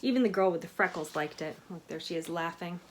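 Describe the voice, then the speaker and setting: A woman reading a picture book aloud to children in an expressive way, with chatty asides to her young listeners. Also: lowish voice, fast talker, slight background noise. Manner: animated, expressive storytelling with questions to listeners.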